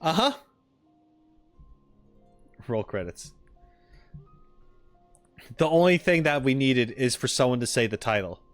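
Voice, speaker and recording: A man reacting casually on a livestream, wry and halting, often repeating his phrases. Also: mid-range voice, lively intonation, faint music behind. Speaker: streamer reacting to game audio.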